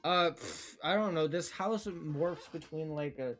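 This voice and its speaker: A man running a tabletop game as game master over voice chat, chatty and loose, laughing often and slipping into quick character voices. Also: fairly high pitch, a bit bright, soft background music. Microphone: home microphone on a voice call.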